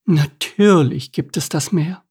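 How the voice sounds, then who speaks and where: A lilting, high-pitched lady crayfish from a children's storybook, dreamy and easily offended, as a man reading aloud puts her on. The voice has a gravelly, husky edge underneath. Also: takes it slowly, a little mellow, full character voice. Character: dreamy motherly female crayfish, indignant.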